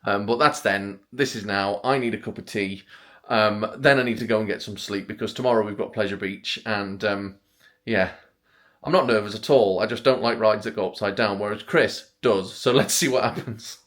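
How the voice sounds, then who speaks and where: A man, talking quietly and hesitantly to camera in a casual travel vlog, pausing often with ums, on a clip-on microphone. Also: lowish voice. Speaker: vlogger.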